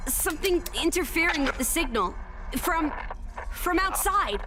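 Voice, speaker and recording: A woman's voice: very high-pitched, animated, unhurried, background noise. A woman playing a capable, earnest superheroine in a video game, speaking articulately. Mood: earnest, focused. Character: bright, capable superheroine working technical problems. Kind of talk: video game hero acting. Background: music.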